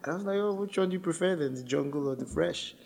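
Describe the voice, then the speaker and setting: A man's voice: a little high, quick pace, vocal fry, darkish tone. A man co-hosting a podcast in a casual, teasing, jokey way. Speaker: podcast co-host. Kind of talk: casual chat.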